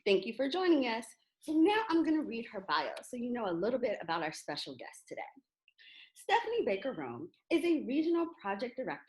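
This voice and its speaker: A woman's voice: on the high side, rough recording. A woman hosting a children's reading event, reading out introductions and putting questions to a guest. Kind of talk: scripted introduction and interview.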